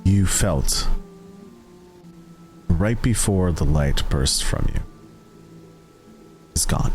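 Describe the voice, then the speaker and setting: A man narrating a tabletop roleplaying game as game master, in a deliberate, suspenseful way with long dramatic pauses. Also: low voice, expressive, soft background music.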